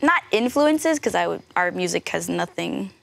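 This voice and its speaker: A woman's voice: lowish voice, animated, normal speed, vocal fry. A young woman from the San Francisco Bay Area, chatting as a singer guest in an interview, laughing easily and saying "like" a lot. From United States (San Francisco Bay Area). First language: French. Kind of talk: casual interview.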